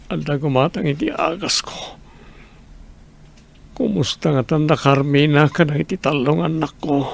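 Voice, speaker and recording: A man's voice: on the high side, creaky, husky, exaggerated voice. A man playing a sick, remorseful father who pleads to come home, in a Filipino radio drama. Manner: slow, pleading delivery. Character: sick, weak, remorseful husband and father longing to return home.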